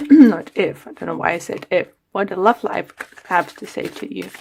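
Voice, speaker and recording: A woman giving a casual tarot reading to her viewers, talking in an unhurried, chatty way with many fillers while she is losing her voice. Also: lowish voice, lively intonation, creaky, a little hiss or hum. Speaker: tarot reader.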